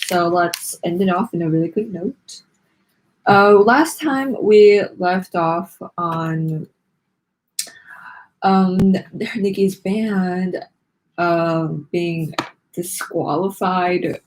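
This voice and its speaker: A woman's voice: lowish voice, slow pace, slight background noise, rough recording. A woman hosting a read-along, narrating a children's book expressively.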